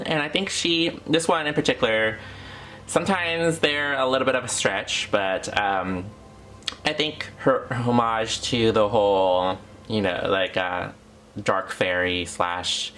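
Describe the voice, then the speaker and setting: A man's voice: on the low side, a bit bright. A man co-hosting a review channel, explaining product details in an informal, hedging, chatty way. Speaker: doll review channel co-host. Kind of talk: casual product review chat.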